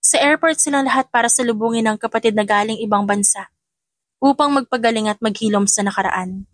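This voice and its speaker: A woman's voice: fairly high pitch, fairly quick, dry recording. A woman with a Filipino accent reading a romantic story as scripted, dramatic audio narration.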